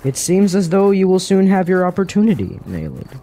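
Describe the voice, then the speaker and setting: An actor playing a sly, teasing dreamer in a fantasy radio drama, with a sardonic, insinuating manner. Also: male voice, high voice, sing-song, brisk, mellow, a little hiss or hum. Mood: sly, mocking. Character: sly, teasing dreamer who needles the warrior prince.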